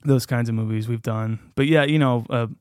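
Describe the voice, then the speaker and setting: A man's voice: mid-range voice, steady tone, brisk, a little mellow, smooth, no echo, clean studio sound. A man talking casually and jokingly about films as a podcast guest. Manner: informal, joking.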